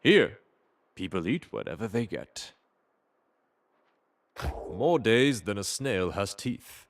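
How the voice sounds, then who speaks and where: A man's voice: on the low side, big pitch swings, takes it slowly, a little hiss or hum. A man playing an eager, eccentric aging inventor from the Ottoman Empire in a video game, with a theatrical, whimsical delivery. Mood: delighted, chatty. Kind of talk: game character dialogue.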